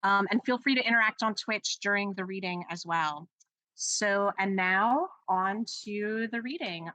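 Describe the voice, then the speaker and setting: Bright-voiced woman hosting an event. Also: medium pitch, measured pace, no room sound, low-quality recording. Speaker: event host, school librarian. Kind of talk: event introduction.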